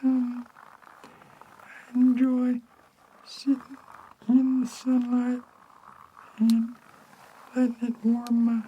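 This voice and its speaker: A tiny, innocent puppet frog, speaks in a very high falsetto. It is slow, halting and childlike, with noise in the recording. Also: male voice, monotone, warm tone, lo-fi sound, exaggerated voice. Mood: innocent, plaintive. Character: small, innocent talking Muppet-like frog.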